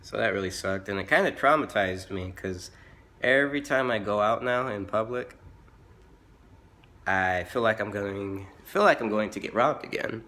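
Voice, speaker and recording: A hoarse young man who has been sick, talking haltingly with many fillers and restarts in a casual vlog from home. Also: on the low side, pushed and bright. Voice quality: rough-voiced. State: hoarse from illness. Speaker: vlogger.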